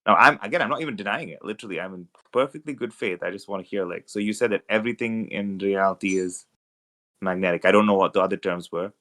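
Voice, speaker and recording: A man arguing on an online call, rapid and exasperated, swearing and full of fillers. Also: fairly low pitch, sharp tone. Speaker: debater on a call. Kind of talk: heated online debate.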